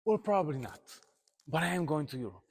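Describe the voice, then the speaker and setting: A man's voice: on the high side, sing-song, fast talker, vocal fry. Wry man filming on phone. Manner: wry, joking. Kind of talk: casual phone vlog. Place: outdoors at night at a street protest. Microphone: phone held close.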